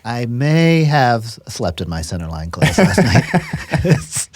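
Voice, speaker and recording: A man's voice: mid-range voice, big pitch swings, measured pace, a little mellow, dry recording. A man co-hosting a casual podcast, easygoing and jokey, laughing along with the host.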